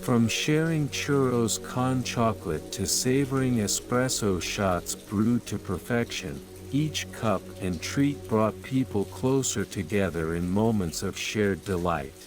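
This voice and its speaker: A man with a fairly low voice narrates a bedtime story. His delivery is calm, soothing and very unhurried, each phrase measured out for sleep. Gentle music and rain play behind him. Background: calm music and rain sounds.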